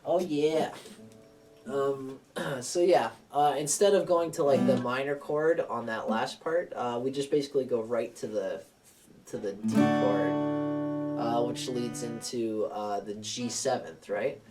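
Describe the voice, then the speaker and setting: A young man giving a relaxed guitar lesson, rambling with plenty of fillers and restarts as he talks through chords and keys. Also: mid-range voice, a little slow, recorded from a distance. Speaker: guitar teacher. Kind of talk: casual guitar lesson with singing. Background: acoustic guitar.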